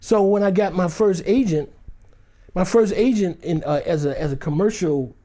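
A man's voice: high-pitched, normal speed, warm tone. A man being interviewed, telling a story in a loose, conversational way and acting out the other people in it. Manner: loose conversational delivery with many "you know" fillers. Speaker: interview guest. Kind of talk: animated anecdotal storytelling.

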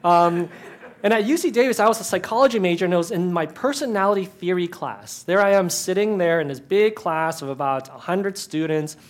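A man's voice: high-pitched, fairly quick. A man in ministry giving a conversational talk to an audience, chatty and self-deprecating, with asides and many restarts.

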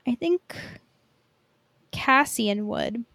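A woman's voice: a little high, expressive, extremely slow, fairly bright tone, no room sound. A woman co-hosting a podcast in casual pop-culture chat, informal and enthusiastic. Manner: informal, enthusiastic, swears freely. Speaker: podcast co-host.

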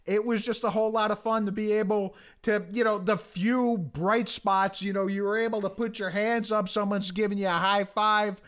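A hoarse American man co-hosting a casual sports podcast, speaking earnestly and often restarting his sentences. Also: high-pitched, little pitch movement, moderate pace, few pauses, fairly bright tone. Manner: earnest, many restarts and you-knows. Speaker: podcast co-host.

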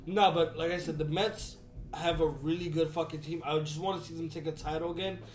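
Male podcast co-host, enthusiastic sports fan, profane and casual, voice a bit high, roomy sound. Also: fairly even intonation, fairly quick. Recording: roomy, echoey room.

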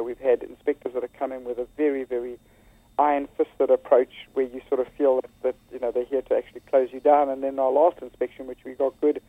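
A man's voice: mid-range voice, dry recording. A man with a South African accent, a school leader talking earnestly in a radio interview, often restarting and repeating words. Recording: tinny phone line.

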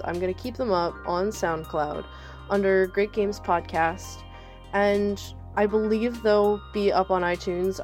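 A woman's voice: medium pitch, darkish tone. A young adult woman hosting a podcast in a casual, conversational style. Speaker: podcast host.

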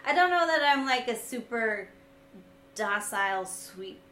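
Sweet, high-voiced woman in an echoey room. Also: animated, unhurried. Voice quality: high, sweet, gentle-sounding voice. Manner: wry, self-deprecating, often laughing. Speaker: vlogger and co-host discussing their video series. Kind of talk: casual reflective conversation. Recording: echoey room, light background noise.

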